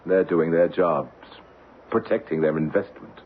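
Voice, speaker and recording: An American actor playing a proud, combative middle-aged financier in an old-time radio drama, with clipped, argumentative delivery. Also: male voice, deep voice, unhurried. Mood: bitter, cynical. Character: proud, embattled male Wall Street financier, combative and cynical. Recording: muffled, noisy old radio broadcast.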